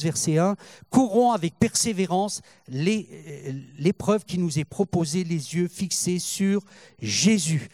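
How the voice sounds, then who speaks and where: An older man preaching with a fairly high voice in a close, dry sound. He halts and repeats words, then climbs into fervent passages. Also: warm tone, no room sound. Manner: halting, repeats words, rises in fervor. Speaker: pastor. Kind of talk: sermon.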